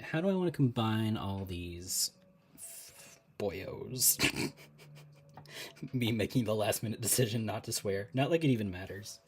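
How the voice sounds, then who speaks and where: A man talking casually on a live stream while he draws, thinking out loud, laughing often and chatting with viewers. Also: mid-range voice.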